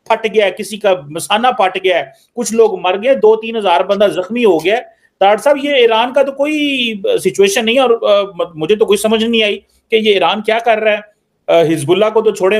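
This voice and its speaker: A man with a Pakistani Punjabi accent hosting a political discussion, repeating his phrases as he questions his guest. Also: high-pitched. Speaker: interviewer, show host. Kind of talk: animated political discussion in casual talk.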